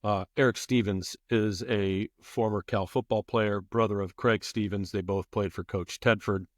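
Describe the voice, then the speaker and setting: Middle aged guy on a podcast, lowish voice, really emphatic, close dry sound. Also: fairly even intonation, normal speed, no room sound. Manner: emphatic, repeats phrases for stress. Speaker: podcast guest, former public address announcer. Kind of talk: spirited conversational interview.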